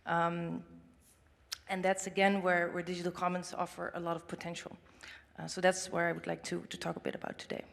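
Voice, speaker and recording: Woman panelist, gravelly low voice, halting, echoing hall. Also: monotone. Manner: hesitant, many fillers and restarts. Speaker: panelist, think tank director. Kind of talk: conference panel statement. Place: large conference hall. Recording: long hall reverb.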